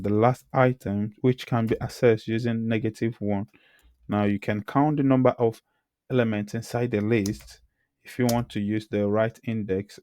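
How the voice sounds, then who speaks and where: Hoarse guy with a low dark voice, flat delivery, walking thru code as he types, keys clicking. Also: no room sound, studio quality. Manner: talks through typing code, clears throat often. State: hoarse, losing his voice. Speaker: programming tutor. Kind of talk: screen-recorded coding tutorial.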